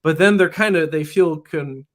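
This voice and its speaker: A man's voice: a little high, moderate pace, a bit bright. A man who teaches in public schools, talking earnestly in an interview and often restarting his sentences with "you know". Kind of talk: casual interview talk.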